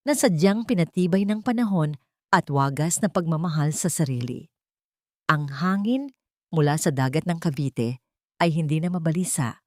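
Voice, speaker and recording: A woman with a Filipino accent narrating a scripted dramatic audiobook story in a solemn, measured, reflective way. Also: sits low, a little mellow, no echo, studio quality.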